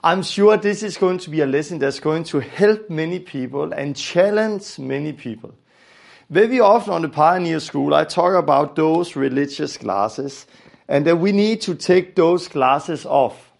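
A man's voice: on the high side, unhurried, creaky. A man from Denmark with a Danish accent, teaching a religious lesson earnestly and repeating phrases for emphasis.

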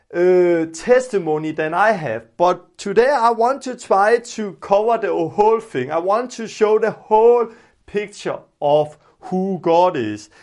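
A man from Denmark with a Danish accent, a native Danish speaker, preaching in simple explanatory English and repeating phrases for emphasis. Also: high voice. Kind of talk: informal teaching sermon with prayer.

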